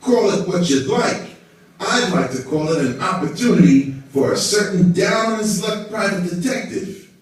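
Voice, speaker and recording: A man's voice: high-pitched, lively intonation, moderate pace. A man playing an evasive, self-important religious council member puppet, speaking formally in scripted show dialogue. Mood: scheming. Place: indoor hall, behind a puppet stage. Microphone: far camera in the audience. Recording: echoey room, distant audience camera.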